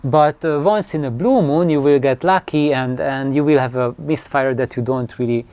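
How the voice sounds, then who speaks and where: A man giving an informal explanatory talk in a hesitant, halting way, often repeating words as he goes. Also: medium pitch, darkish tone, clear, resonant tone. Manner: acts out imagined dialogue. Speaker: loudspeaker designer.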